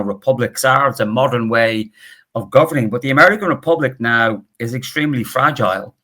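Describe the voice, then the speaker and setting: A middle-aged man with a Northern Irish accent, a professor speaking as an interview guest in an animated, emphatic, opinionated way. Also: mid-range voice. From Northern Ireland. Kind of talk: conversational interview.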